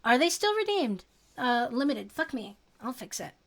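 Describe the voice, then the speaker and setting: A woman's voice: high-pitched, sing-song, moderate pace, creaky. A young woman streamer chatting casually with her viewers and giggling often. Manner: giggly, chatty, reads chat aloud.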